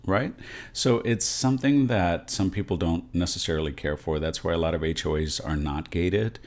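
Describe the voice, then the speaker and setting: Very deep-voiced American man. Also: normal speed. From San Antonio, Texas, USA. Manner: explanatory, often checks in with "right?". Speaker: realtor and video host. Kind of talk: direct-to-camera advice video.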